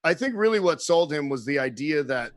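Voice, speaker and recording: Male guest for a home podcast, a touch higher-pitched, very dry close sound, lively storyteller who swears freely. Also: brisk, no echo. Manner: animated storyteller, acts out quotes, swears freely. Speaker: guest musician. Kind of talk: casual chat.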